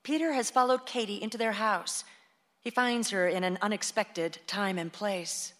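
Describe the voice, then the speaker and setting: An actress as the composed narrator of a radio play, reading scripted stage directions. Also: female voice, medium pitch, normal speed, a bit bright, natural voice. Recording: long hall reverb.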